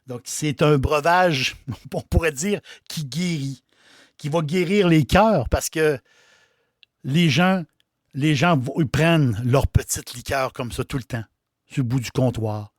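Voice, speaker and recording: Québécois male innkeeper, mid-pitched, casual folksy delivery, dry recording. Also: dry recording. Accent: Québécois French. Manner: folksy, repeats words and phrases. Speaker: innkeeper host. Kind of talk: casual talk about a liqueur.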